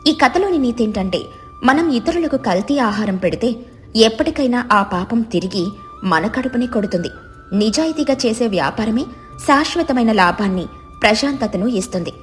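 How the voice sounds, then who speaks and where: Female narrator for moral stories, higher-pitched voice, faint music bed. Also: expressive, moderate pace. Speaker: storyteller. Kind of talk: scripted moral story narration.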